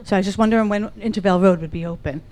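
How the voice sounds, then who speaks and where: A woman's voice: mid-range voice, very fast, a little mellow. A woman speaking at public comment in a town council meeting, direct and asking questions. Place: council chamber.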